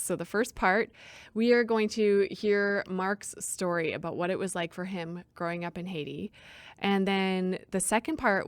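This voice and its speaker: A woman's voice: fairly low pitch, no room sound. A young woman from Canada hosting a podcast interview in a friendly, chatty way. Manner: friendly, chatty, with fillers and laughs. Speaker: podcast host. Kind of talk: casual interview.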